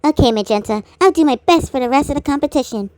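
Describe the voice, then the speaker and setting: A woman's voice: sits high, lively intonation, fast talker, warm tone, no echo. A woman doing amateur cartoon voice acting in an exaggerated, theatrical manner.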